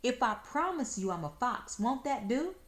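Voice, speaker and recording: A woman plays a proud male fox from a children's storybook, raised in pitch and loud with wounded dignity. His diction is fussy and formal, breaking into stammers and pleas as he grows desperate, with big swoops in his delivery. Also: sing-song, moderate pace. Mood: sobbing, despairing. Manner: pompous formal diction, stammering and pleading when rattled.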